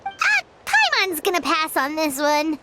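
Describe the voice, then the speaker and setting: A woman's voice: huge pitch swings, measured pace, very noisy, heavily acted. A woman voicing a tiny, chatty female companion who refers to herself by name, in a game. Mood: chirpy. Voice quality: high squeaky childlike voice. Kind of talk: game voice acting. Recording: game audio with music behind.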